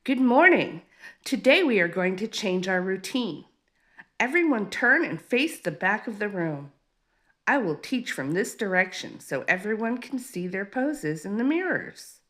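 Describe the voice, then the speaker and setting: An encouraging rabbit yoga teacher from a children's storybook, voiced by a woman reader. The delivery is friendly and unhurried, at a medium pitch. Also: very animated, fairly bright tone. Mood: instructive. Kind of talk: children's storybook read-aloud.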